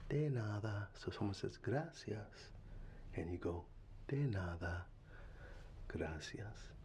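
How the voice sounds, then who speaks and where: Man, very low voice, soft fatherly ASMR whisper, unhurried and halting, close-miked over constant ambient hiss. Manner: soft, half-whispered and tender, with halting restarts. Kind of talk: ASMR roleplay. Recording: steady ambient background noise.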